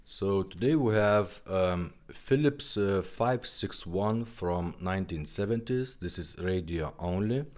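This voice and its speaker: Male demo presenter, non-native English speaker. Deep register, animated melody, halting step-by-step delivery. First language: not English. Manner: halting, simple step-by-step explaining. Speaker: presenter of a vintage car radio demonstration. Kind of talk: casual product demonstration.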